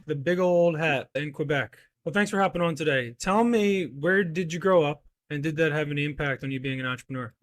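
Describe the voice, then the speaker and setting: A man's voice: a little high, fairly quick, hardly any pauses. Upbeat man hosting a podcast. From United States. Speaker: podcast host. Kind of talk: casual interview chat.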